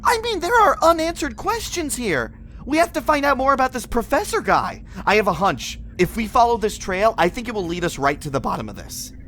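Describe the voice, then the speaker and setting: A man's voice: very high voice, very animated, a little fast, bright, forceful tone, exaggerated voice. Audio drama rookie agent, male actor: insecure, eager, rambling, hugely swinging intonation, slight background noise. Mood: eager, relieved. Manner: nervous, rambling, apologetic. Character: earnest, insecure rookie space agent, self-blaming but eager.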